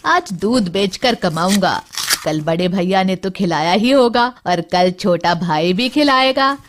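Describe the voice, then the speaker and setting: A woman's voice: medium pitch, lively intonation, unhurried, background noise, lightly acted. A woman voicing a lazy, stingy village son in a cartoon tale, slyly justifying himself. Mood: sly, scheming.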